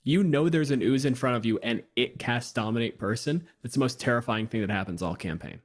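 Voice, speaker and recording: A man with an average-pitched voice chats as a panelist on a tabletop gaming show. His delivery is explanatory and informal, in loose conversational phrasing. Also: a little fast. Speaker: podcast panelist. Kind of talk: casual tabletop gaming discussion.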